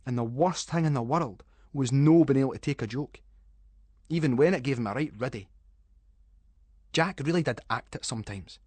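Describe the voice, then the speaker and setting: A Scottish male narrator with a mid-pitched voice reads a story. His delivery is dramatic and suspenseful, yet colloquial and full of dialect. The sound is very dry and close. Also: normal speed, long pauses, darkish tone, dry recording.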